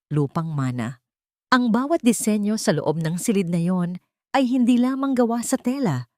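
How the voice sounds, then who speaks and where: A woman storyteller reading a scripted dramatic story in a measured, solemn and sentimental way, phrase by phrase. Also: on the low side, darkish tone, dry recording, studio quality. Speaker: storyteller, narrator.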